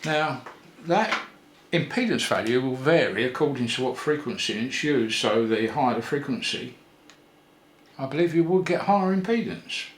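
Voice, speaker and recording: An older man giving a casual hands-on repair tutorial, thinking aloud in an unhurried way with pauses. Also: medium pitch, expressive. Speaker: electronics repair presenter. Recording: roomy echo with faint background noise.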